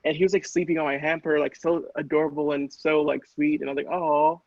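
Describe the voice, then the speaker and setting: A man's voice: fairly high pitch, level tone, fairly quick, no echo. A man casually telling a personal story in a rambling, hesitant, self-questioning way. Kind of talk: casual personal anecdote chat. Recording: dull, dry, lo-fi audio.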